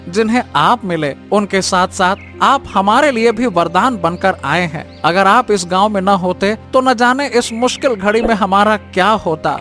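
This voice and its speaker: A man's voice: sits high, moderate pace, hardly any pauses, sharp tone, faint music behind. A man voicing a grateful, deferential village helper in a moral-story cartoon, eager and admiring.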